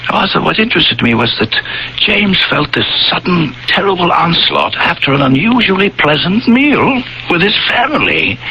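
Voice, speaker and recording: An actor playing an earnest, solicitous male friend who tells his own story in a radio drama, with deliberate, coaxing, theatrical phrasing. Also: high-pitched, sharp tone, highs cut off, exaggerated voice. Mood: reassuring. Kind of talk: old-time radio drama acting, first-person narration and dialogue. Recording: faintly noisy 1980 radio broadcast.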